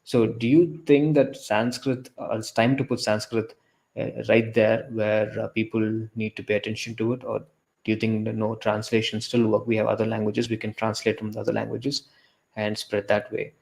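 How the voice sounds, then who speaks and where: A man's voice: on the low side, level tone, gravelly, darkish tone. A young man from India with an Indian English accent, chatting casually as co-host and often restarting his sentences. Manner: halting, frequent restarts and fillers. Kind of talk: casual podcast discussion.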